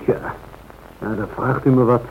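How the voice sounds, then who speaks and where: An actor playing a modest, unruffled airline pilot in a radio drama, deferential and understated. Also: male voice, medium pitch, steady tone, moderate pace, gravelly, a little hiss or hum, natural voice. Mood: modest, matter-of-fact. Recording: thin, phone-like old radio recording.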